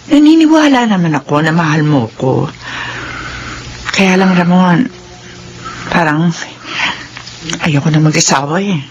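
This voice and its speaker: A woman's voice: lowish voice, measured pace, long pauses. Woman in an old radio drama, voice jumping around a lot, scolding tone, little bit of hiss. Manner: lively, chiding mother and older-sister tones. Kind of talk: classic radio drama acting.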